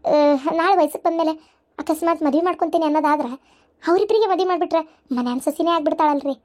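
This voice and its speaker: High-voiced, coaxing grandmother played by a woman. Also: racing, darkish tone. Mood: coaxing, earnest. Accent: North Karnataka. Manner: coaxing, hesitant. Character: soft-hearted grandmother coaxing her stern husband. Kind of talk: cartoon family drama acting.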